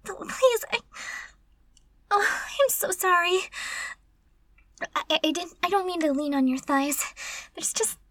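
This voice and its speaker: A woman's voice: high-pitched, a little fast, a bit bright, dry recording. A woman playing a timid, curious, easily flustered female servant in an intimate audio roleplay, murmuring breathily and stammering. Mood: flustered, apologetic.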